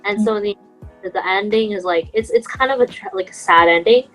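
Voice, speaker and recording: A woman's voice: medium pitch, fairly quick, fairly bright tone, faint music behind, poor audio. A young adult woman co-hosting a casual podcast among friends, talking in an earnest, self-deprecating, enthusiastic way. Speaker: podcast co-host.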